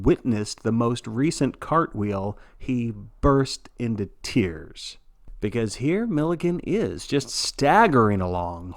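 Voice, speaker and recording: A man's voice: mid-range voice, dry recording, studio quality. A man narrating a story as a sports broadcaster, in a theatrical play-by-play style with wry, folksy asides.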